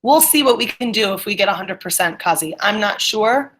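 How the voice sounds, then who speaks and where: A woman's voice: medium pitch, animated, fairly quick, a bit bright, a little hiss or hum. A woman teacher leading an interactive online class, encouraging students and checking their understanding with questions. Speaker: middle school English teacher.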